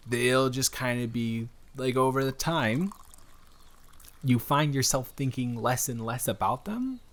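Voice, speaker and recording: A man playing a friendly, flirty stranger in an improvised audio roleplay, talking in a rambling, hesitant, earnest way with gentle teasing. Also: medium pitch. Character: cute friendly male stranger at pumpkin patch, wholesome, flirty, reassuring. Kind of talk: improvised intimate audio roleplay spoken to the listener.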